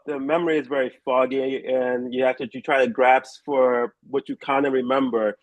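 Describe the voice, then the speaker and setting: A man's voice: mid-range voice, no room sound, low-quality recording. A man interviewing an author, asking questions in a casual, conversational way. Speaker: magazine interviewer.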